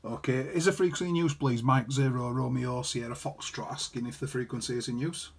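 A man's voice: mid-range voice, a little fast, background noise. A man chatting casually as an amateur radio operator, reading out callsigns and figures. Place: indoor room beside a desktop transceiver.

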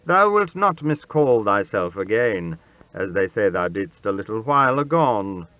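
A man's voice: on the high side, expressive, slow pace, no echo, narrow, tinny audio, voice pushed up. A man voicing an ailing old king who speaks to his child in stately, archaic words with fatherly tenderness and sorrow. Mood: soothing. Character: stern old ailing king turned gentle, grieving father.

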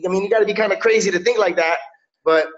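A man in his thirties from Miami talks loosely over a webcam call, dropping "yo" and "you know what I'm saying" between thoughts. His voice sits high for a man. The audio is a little dull and lo-fi. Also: quick pace, a bit bright. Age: 30s. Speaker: MMA fighter, guest. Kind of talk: casual interview over video call. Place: indoors, small room. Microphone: video call webcam.

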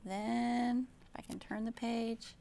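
Middle-aged American woman, children's storytime reader: slow pace, creaky edge, expressive and simple delivery. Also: fairly high pitch, slight background noise. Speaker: children's librarian, storytime host. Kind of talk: children's storytime read-aloud and show-and-tell. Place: indoor library room.

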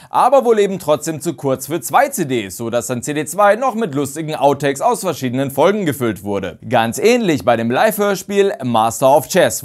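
Lively bearded man talking to camera. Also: fairly high pitch. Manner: animated, expressive, chuckles often. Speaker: channel host. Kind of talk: casual explainer to camera. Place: indoor studio set. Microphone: lapel.

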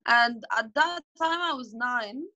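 A woman's voice: on the high side, measured pace, bright, forceful tone, highs cut off. A woman in her twenties from Egypt, a professional squash player, chatting casually on a video call with lots of fillers and restarts. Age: 20s. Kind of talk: casual interview answers. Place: bright indoor room, at home. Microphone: phone or laptop camera on video call.

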